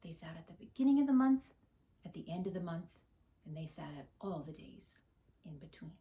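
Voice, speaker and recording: A woman's voice: lowish voice, expressive, brisk, dull audio. A woman on a school staff reading a children's picture book aloud. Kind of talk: children's storybook read-aloud.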